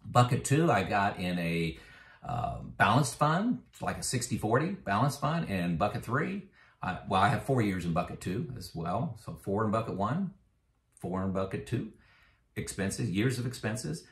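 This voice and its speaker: A man's voice: on the low side, sing-song, moderate pace, reverberant. A man in his fifties talking casually about his own finances, conversational with frequent fillers and growing emphatic when he makes a point. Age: 50s. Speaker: retirement finance vlogger. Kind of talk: casual explanatory talk to camera.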